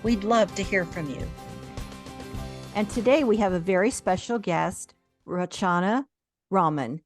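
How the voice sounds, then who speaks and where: A woman's voice: medium pitch, unhurried, soft background music. A woman hosting a podcast, introducing a guest and asking friendly, encouraging questions. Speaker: podcast host. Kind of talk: podcast interview.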